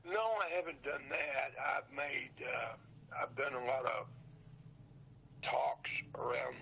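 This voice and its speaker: An older man with a husky, gravelly voice, chatting casually as an interview guest. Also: medium pitch, takes it slowly, bright, forceful tone, muffled recording. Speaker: podcast guest, old radio show collector. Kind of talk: casual reminiscing chat.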